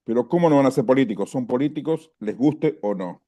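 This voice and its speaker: Man making emphatic rhetorical points, warm mellow tone. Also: medium pitch, lively intonation, a little fast, lo-fi sound.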